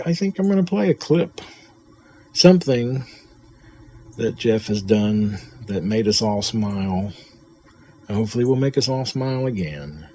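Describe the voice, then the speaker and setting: Slow, soft-spoken man with husky voice. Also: lowish voice. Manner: halting, many fillers and restarts. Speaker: podcast host and audio drama producer. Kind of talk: heartfelt spoken tribute.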